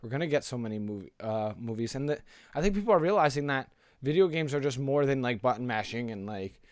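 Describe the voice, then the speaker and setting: A man's voice: mid-range voice, lively intonation, fast, no echo, studio quality. A man co-hosting a casual pop culture podcast, talking in a slangy, rambling, enthusiastic way. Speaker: podcast co-host.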